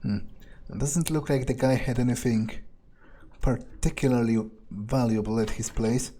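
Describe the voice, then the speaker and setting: A man's voice: mid-range voice, steady tone, normal speed, mellow, natural voice. A man playing a detached, blunt vampire investigator, musing hesitantly in character during a video game playthrough. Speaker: game player narrating in character. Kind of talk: improvised in-character playthrough, thinking aloud.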